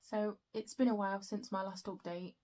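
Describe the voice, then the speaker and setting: A young woman chatting casually about her reading in a book vlog, her throat a bit croaky, with frequent soft fillers. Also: mid-range voice, fairly even intonation, moderate pace. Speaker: book vlogger.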